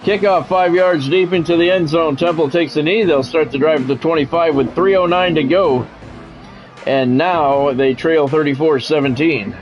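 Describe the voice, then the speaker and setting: A man's voice: a little high, moderate pace, soft background music. A man doing football play-by-play as a game streamer, in short clipped announcer-style calls mixed with casual chat to viewers.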